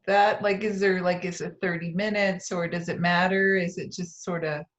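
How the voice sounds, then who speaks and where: A woman's voice: on the low side, steady tone, normal speed. A woman casually asking a question as a workshop participant.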